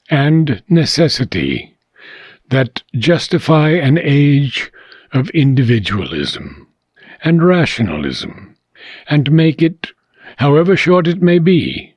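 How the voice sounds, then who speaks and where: A man reading a philosophical book aloud, in deliberate, measured phrases with frequent pauses. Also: fairly high pitch, darkish tone, slightly rough, no echo. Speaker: audiobook reader.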